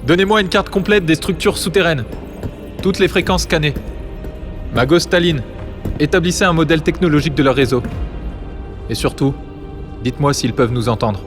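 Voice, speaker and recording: An actor playing a severe, commanding male fleet admiral in a sci-fi audio drama, giving measured, authoritative orders. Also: a little high, lightly acted. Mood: urgent command. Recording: reverberant hall, music underneath. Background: music.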